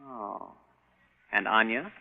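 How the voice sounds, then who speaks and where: A man playing an agitated, insistent scientist in a 1950s radio drama, questioning in an emphatic, expressive way. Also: fairly low pitch, slow pace, gravelly, breathy edge, narrow, tinny audio, full character voice. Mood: subdued, puzzled. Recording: thin vintage radio recording with music.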